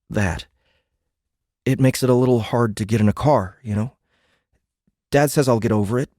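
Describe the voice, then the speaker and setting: A man's voice: mid-range voice, a little mellow, dry recording, clean studio sound, lightly acted. An actor playing a sarcastic, indignant American teenage boy in an audiobook drama, talking in exasperated slang. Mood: uneasy.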